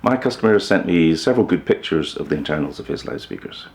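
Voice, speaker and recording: A man with an extremely low voice and a heavy creak presents an explainer. He talks informally to the viewer, keeping a measured, matter-of-fact manner throughout. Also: animated. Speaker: host of a hi-fi upgrade video channel.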